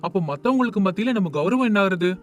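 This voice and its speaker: Man playing Ramaiah, a proud village husband, high voice. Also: expressive, quick pace, soft background music. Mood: easygoing. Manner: easygoing, concerned with appearances.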